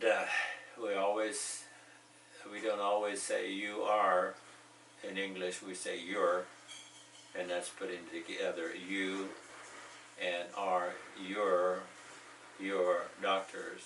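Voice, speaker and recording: Guy teaching english real slow, deep voice, mic kinda far off in an echoey room. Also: pushed and bright, a little hiss or hum. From United States. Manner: slow, repeats example phrases, joking asides. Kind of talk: bilingual language lesson.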